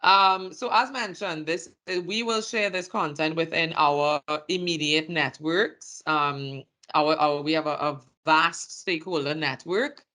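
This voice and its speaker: Trinidadian-accented workshop presenter, bright voice. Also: medium pitch, steady tone. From Trinidad and Tobago. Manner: halting, phrase by phrase delivery. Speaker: communications presenter.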